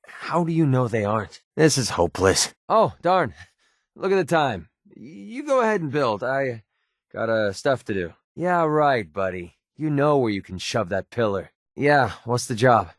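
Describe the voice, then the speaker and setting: A man's voice: medium pitch, no echo, studio quality, close to an everyday voice. An American man playing a cynical, wisecracking young mercenary in a video game, with a casual, sarcastic, slangy delivery. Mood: exasperated. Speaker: video game companion character.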